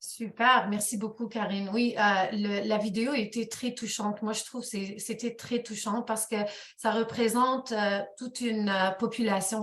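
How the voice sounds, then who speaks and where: A woman's voice: mid-range voice, pushed and bright, reverberant. A woman moderating a webinar panel, asking questions with many hesitations.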